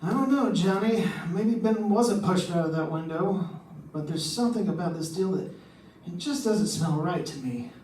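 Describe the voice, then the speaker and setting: Worried insurance exec for radio noir, male actor, high voice, hesitant, roomy and off-mic. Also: expressive, normal speed, darkish tone. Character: worried male insurance man with nagging suspicions. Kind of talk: old-time radio drama acting. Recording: roomy, distant mic, faint background noise.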